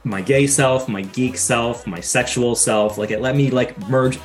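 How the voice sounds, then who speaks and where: A man's voice: mid-range voice, fairly even intonation, measured pace, soft background music. A man speaking casually and reflectively as a podcast guest.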